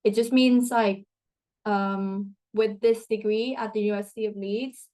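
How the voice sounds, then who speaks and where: A woman's voice: medium pitch, fairly even intonation, measured pace, dark tone. A young woman from Thailand, a student guest answering interview questions in a chatty way, with many fillers and restarts. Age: young adult.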